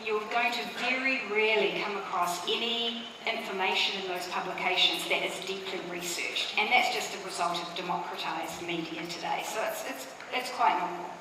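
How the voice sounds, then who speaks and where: A middle-aged woman with a New Zealand accent gives a conference talk in a relaxed, chatty way, sprinkling in fillers and little asides. She is recorded in a big hall with heavy reverb. She sounds distant from the mic, and a clear room hum sits under her voice. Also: medium pitch. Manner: conversational, uses fillers and asides. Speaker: conference speaker.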